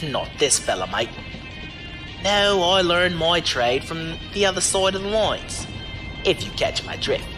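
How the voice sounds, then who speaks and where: Male actor as roguish mercenary driver, animated intonation, teasing, unflappable, slangy, audio drama, music underneath. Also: high-pitched, measured pace. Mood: breezy, wry. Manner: breezy, teasing, folksy slang. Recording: music behind the voice.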